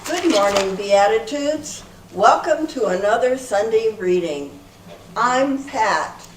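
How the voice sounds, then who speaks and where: A woman's voice: a little high, expressive, slow. Woman as an eager group leader, echoey room. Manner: earnest, presenting. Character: eager, easily flustered female group leader. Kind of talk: amateur readers theater. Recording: echoey room from far off, faint noise.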